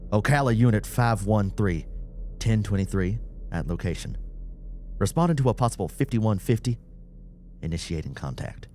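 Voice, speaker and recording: A man voicing an experienced police officer in an audiobook story, speaking with calm, gentle authority. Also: on the low side, slight background noise, pitched above the performer's own voice, natural voice. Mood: brisk procedural. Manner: measured, authoritative.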